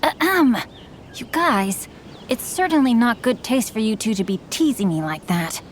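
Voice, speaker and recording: A woman voicing a polite, bookish young gentleman in a video game, speaking in a formal, courteous and refined manner. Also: fairly high pitch, sing-song, moderate pace, a little hiss or hum.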